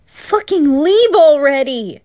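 A woman playing a terrified young woman trapped at home, intense, pleading and often hushed, in a horror story. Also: high voice, lively intonation, takes it slowly. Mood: angry, panicked. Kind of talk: dramatised horror story reading.

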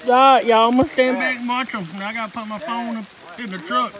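A man's voice: very high voice, steady tone, quick pace, a crowd in the background. A man on a night hunt outdoors, chatting in an easygoing, joking way. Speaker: hunting companion. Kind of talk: casual chat. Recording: outdoor phone recording with rain noise.

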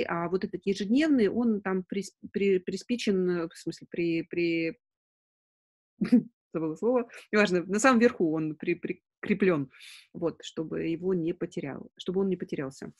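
A woman's voice: medium pitch, darkish tone. A middle-aged woman hosting a webinar in an informal way, hesitating and correcting herself as she goes. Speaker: webinar host. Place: small room at home, indoors.

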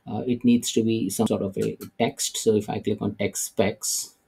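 A middle-aged man giving a screen-recorded software tutorial, hesitant, with many "uh" and "okay" fillers. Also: lowish voice, level tone, normal speed, creaky, husky. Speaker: tech tutorial presenter.

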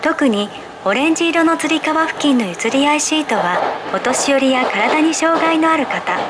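A high female voice reads scripted transit announcements in a polite, formal, measured way. It comes over the speakers of a train car, with clear rumbling noise around it. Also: lively intonation. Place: played over speakers inside a subway car. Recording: noisy train interior, constant rumble.

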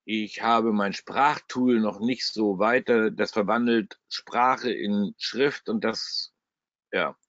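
A man's voice: fairly low pitch, takes it slowly, a bit bright, no echo. Blunt, chatty man with a German dialect. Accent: German regional dialect. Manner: blunt, chatty, salty humor. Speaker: trading webinar host. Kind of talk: live trading webinar. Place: at a computer, screen shared. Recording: dry, slightly dull webinar audio.

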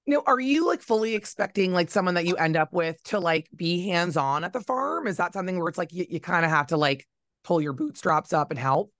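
A woman's voice: fairly low pitch, lively intonation, brisk, a bit bright, no echo. A woman, a radio host chatting through an interview with lots of fillers and restarts, on a studio mic over a video call. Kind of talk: casual interview with games.

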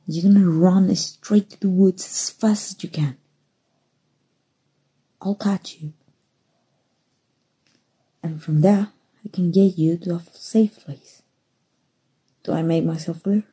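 A woman from Mexico playing a kind undercover rebel rescuer in an ASMR roleplay, with folksy, hushed speech and a soft, breathy voice. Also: fairly low pitch, fairly even intonation, slow pace, long pauses, full character voice. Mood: hushed, urgent. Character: kind human rescuer, gruff-talking, reassuring undercover rebel.

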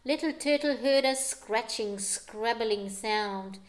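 A woman's voice: on the high side, slow pace, slight background noise, rough recording. A middle-aged woman reading a picture book aloud to young children at home, with dramatic delivery and explanatory asides. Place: living room at home.

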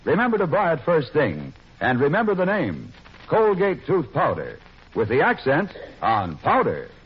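A man's voice: fairly high pitch, wildly swooping pitch, normal speed, slightly rough. A man announcing a scripted 1940s radio commercial in a formal, emphatic manner. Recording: muffled 1940s radio recording.

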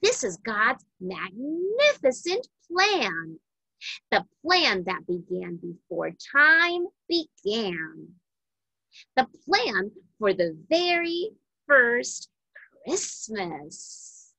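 A woman reads a Bible story aloud for children in a bright, slightly husky voice. Her phrasing is deliberate and emphatic, and the sound is close and dry. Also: fairly high pitch, very animated, no room sound, poor audio.

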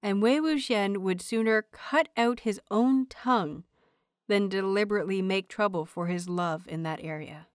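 A woman reading a story aloud as an audiobook narrator, in expressive scripted narration. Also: medium pitch, moderate pace, no echo, studio quality. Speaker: audiobook narrator of fan fiction.

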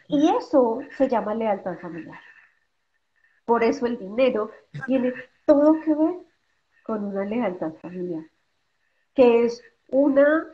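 A woman in her forties, a guest speaker on a video call from a room at home, explaining with emphasis, asking rhetorical questions and acting out what parents say. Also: fairly high pitch. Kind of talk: explanatory interview talk. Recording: dull lo-fi video call audio.

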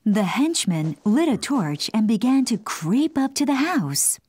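A woman's voice: fairly high pitch, very animated, unhurried, darkish tone, husky. A woman narrating a scripted children's bedtime story. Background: music.

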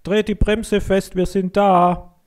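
A man's voice: sits high, little pitch movement, slow pace, darkish tone, pitched above the performer's own voice. A man voicing a plain-spoken, hearty farmhand who drives a milk wagon in a children's story. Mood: calling out.

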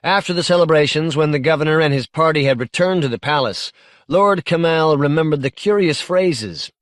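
A man narrating literary science fiction as an audiobook, measured and articulate in his reading. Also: a little high, a bit bright, dry recording.

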